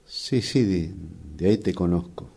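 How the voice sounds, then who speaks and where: Un actor con acento rioplatense que interpreta en un radioteatro a un jefe engreído y vengativo, de tono sardónico y condescendiente. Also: male voice, sits low, dark tone. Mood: cool, smug. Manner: sardonic, deliberate, condescending. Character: smug vindictive male boss, former errand boy. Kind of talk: radio drama acting.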